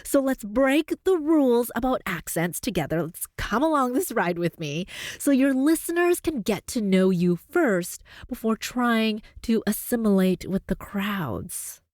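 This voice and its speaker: A woman from Canada with a neutral North American accent, hosting a podcast as a voice coach. She talks in an upbeat, encouraging way and jumps up in pitch for emphasis. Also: animated, a little slow, darkish tone, no room sound, studio quality. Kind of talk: scripted podcast monologue.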